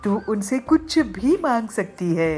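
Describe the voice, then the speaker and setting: A woman's voice: medium pitch, big pitch swings, takes it slowly, a little mellow. A woman voicing a kindly, pious male priest bird in a children's cartoon, encouraging and full of advice. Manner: encouraging, advising. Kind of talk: Hindi children's moral cartoon acting.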